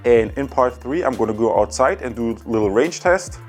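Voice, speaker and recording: A man's voice: mid-range voice, animated, a little fast, a little mellow, soft background music. A man presenting a casual, explanatory tech review, speaking enthusiastically and directly to viewers.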